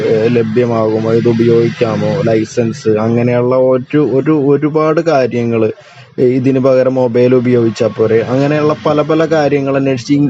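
Male presenter, Malayali accent, mellow dark tone, informal explainer delivery with halting repeats, lo-fi sound. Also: medium pitch. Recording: dull lo-fi audio with clear background noise.

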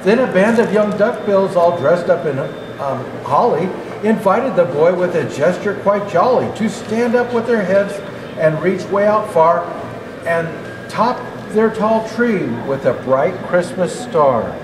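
Older man, Santa storyteller, expressive verse reading, roomy echo, clear background noise. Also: high-pitched, a little slow. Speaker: Santa Claus storybook reader. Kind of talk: children's storybook reading aloud. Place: indoor natural history museum hall. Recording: echoey hall, clearly noisy background.

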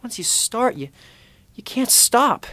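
A young man performing commercial voiceovers in a studio, with a hushed, intimate and measured delivery. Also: very high-pitched, very animated, dry recording. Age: young adult. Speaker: voice actor. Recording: music bed behind. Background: music beds.

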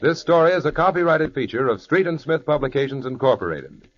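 A man announcing the credits of a 1940s radio drama in a crisp, formal broadcast manner. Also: a little high, expressive, fairly quick, over loud music. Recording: dull 1940s radio recording.

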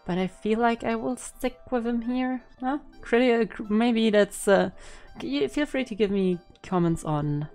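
A woman's voice: on the high side, measured pace, hardly any pauses, a little mellow. A young woman giving casual reaction commentary as a streamer, informal and hesitant as she thinks aloud. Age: young adult. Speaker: reaction video host and streamer. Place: indoor room at home. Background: music.

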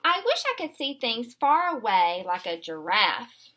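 A small, wistful chameleon from a children's storybook, voiced by a woman reading aloud. The voice is high and swings expressively, full of longing. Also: sing-song, a little slow, a bit bright, exaggerated voice. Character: small chameleon, wistful, wishing to be other animals.

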